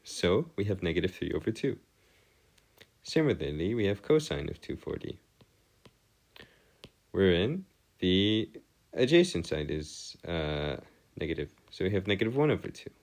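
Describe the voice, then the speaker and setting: Male tutor, deep register, lively melodic swings, bookish phrasing, hesitant stop-and-start explanatory delivery. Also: normal speed, long pauses. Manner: formal, bookish wording. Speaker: math tutor. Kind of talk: improvised lesson explanation on trigonometry.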